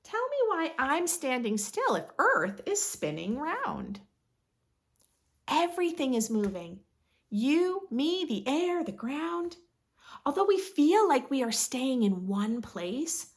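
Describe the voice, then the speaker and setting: A woman reading a picture book aloud to young children in an expressive, instructive way, as a read-aloud host. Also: high-pitched. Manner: expressive, instructive, asks listeners questions.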